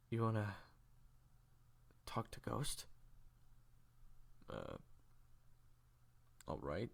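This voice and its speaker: Man acting low, gravelly, weary young man. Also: a little mellow, heavily acted. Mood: reassuring, irritated. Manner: terse, casual, reassuring, swearing. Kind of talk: audio roleplay drama acting.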